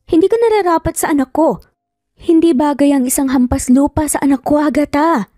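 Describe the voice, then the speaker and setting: A woman's voice: sits high, a little fast, mellow, no echo, studio quality, pitched above the performer's own voice, natural voice. A woman voicing a cruel, rich older mother in a story, stern, sarcastic and commanding. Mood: cold, sarcastic.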